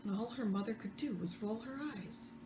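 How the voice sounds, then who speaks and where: A woman reading fiction aloud as the author, expressive, with the odd stumble. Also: on the high side, normal speed. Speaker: author reading own book. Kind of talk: read-aloud fiction narration. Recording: roomy echo, faint background noise.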